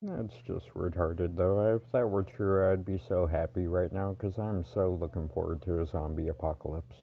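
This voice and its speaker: A man's voice: sits low, steady tone, moderate pace. A man co-hosting a casual podcast chat, speaking unhurriedly and bluntly. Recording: dull lo-fi mic.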